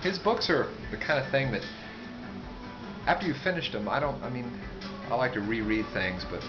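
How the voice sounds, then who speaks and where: A man giving a casual, unscripted book review, rambling and halting, with asides that trail off. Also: mid-range voice, big pitch swings. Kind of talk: casual, unscripted talk to camera. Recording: dull sound with music behind. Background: music.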